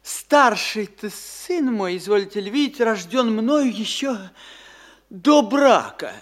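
Brief: a timid provincial landowner, highish voice, halting and servile. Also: male voice, expressive, fairly bright tone, exaggerated voice. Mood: meek, fawning. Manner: meek, hesitant, servile. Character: timid small-town male landowner, meek and fussing. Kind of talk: classic radio theatre acting.

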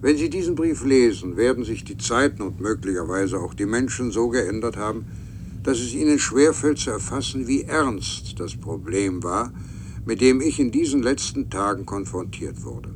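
A male actor plays a statesman in a radio drama, composed and faintly wry. His lowish voice reads out in formal, measured phrases. Clear rumbling noise sits behind him. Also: lively intonation, close to an everyday voice. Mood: grave. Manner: measured, formal reading with brisk asides. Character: future male president reading aloud, formal, wry, composed. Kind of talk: German radio play acting.

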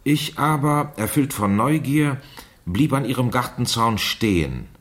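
An actor playing a wry, deliberate investigator in a German radio crime drama. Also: male voice, mid-range voice, expressive, a bit bright. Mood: reading, dry. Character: wry investigator reading aloud a girl's diary.

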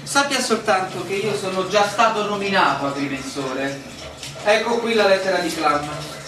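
An actor playing a stubborn land surveyor in a radio drama, politely challenging officials with irony. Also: male voice, sits high, normal speed, close to an everyday voice. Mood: defiant, probing. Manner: polite but challenging, ironic. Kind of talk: theatrical radio drama dialogue. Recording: roomy, distant, faint background noise.

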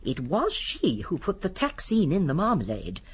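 A woman playing a shrewd, genteel elderly English lady sleuth in a radio drama, speaking primly and precisely with a gently assured manner. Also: on the low side, wildly swooping pitch, normal speed, slightly rough, heavily acted. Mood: assured, explaining. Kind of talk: BBC radio drama acting.

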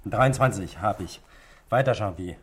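A man's voice: lowish voice, lively intonation, normal speed, close to an everyday voice. An actor playing a wry, complaining man at a card game in a 1960s German radio play. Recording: faint noise and clicks.

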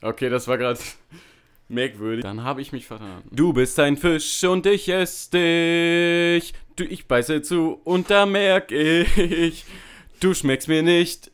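A man rehearsing lines as an amateur performer, breaking off between loud theatrical takes and casual chat. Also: fairly high pitch, fairly even intonation, slow pace, smooth.

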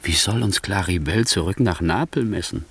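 A deep, gravelly scheming nobleman. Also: moderate pace, a bit bright, full character voice. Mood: mocking, insinuating. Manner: theatrical, insinuating, rhetorical. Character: scheming ambitious nobleman, smooth-tongued, ruthless tempter. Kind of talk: classic German radio play acting, verse drama.

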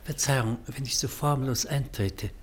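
An actor playing a courteous family doctor in a radio drama, with a polite, formal, measured manner. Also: male voice, medium pitch, a little hiss or hum, close to an everyday voice. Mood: courteous, matter-of-fact. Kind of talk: German radio drama acting.

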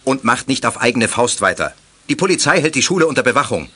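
A man's voice: mid-range voice, very animated, fast talker, pushed and bright, breathy edge, heavily acted. A male actor playing a stern, concerned grown-up who admonishes children formally in an audio drama. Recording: faintly noisy audio play recording.

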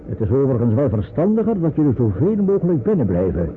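Old noisy radio play, a calm resistance guy reassuring someone, lots of up and down in his voice. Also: mid-range voice, narrow, tinny audio. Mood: calm, informative. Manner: measured, reassuring, instructive. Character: calm, reassuring male wartime resistance escort. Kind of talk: Dutch radio play acting.

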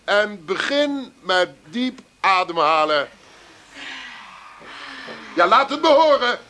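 A pushy gushy therapy guru, high swoopy voice, slow coaxing, radio play. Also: male voice, sing-song, pushed and bright, slight background noise, full character voice. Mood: exhorting, gushing. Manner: coaxing, repetitive encouragement. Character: gushing, patronising male therapy group guru, pushy and soothing.

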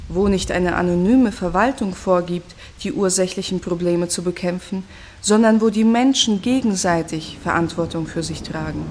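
Embittered commune woman over rumbling noise, acted by a woman. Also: darkish tone, natural voice. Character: embittered woman from a raided self-sufficient rural commune. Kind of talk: reflective radio drama monologue.

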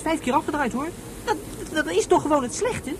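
A precocious, eager young boy in a radio space drama. The voice is high and very quick, childishly insistent and stumbling over repeats. Clear noise sounds behind. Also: lively intonation. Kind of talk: science fiction radio drama acting.

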